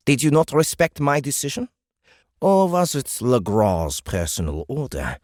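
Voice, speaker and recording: An actor playing a stern, sardonic senior male alien officer in an audiobook, with a gravelly rasp and a deliberate, cutting delivery. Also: lowish voice, dry recording, clean studio sound. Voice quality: gravelly, raspy. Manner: deliberate, cutting, drily mocking. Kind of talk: audiobook character acting.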